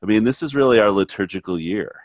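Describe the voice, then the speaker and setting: A man hosting a conversational faith and psychology podcast, a little stuffy from a cold, relaxed, with frequent fillers and easy laughs. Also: lowish voice, fast talker, no echo. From United States. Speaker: podcast co-host, therapist.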